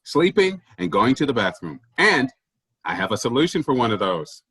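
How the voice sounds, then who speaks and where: A man's voice: mid-range voice, sing-song, normal speed, a bit bright, breathy edge, no echo, clean studio sound. A man in a staged comedy reading playing a pushy, self-important office boss who preaches efficiency emphatically. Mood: insistent, boastful.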